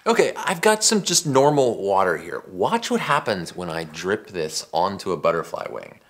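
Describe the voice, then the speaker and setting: A man's voice: low voice, very animated, normal speed, fairly bright tone. An American man in his forties hosting a science explainer, talking to camera in a casual, emphatic, conversational way. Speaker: science show host. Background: background music.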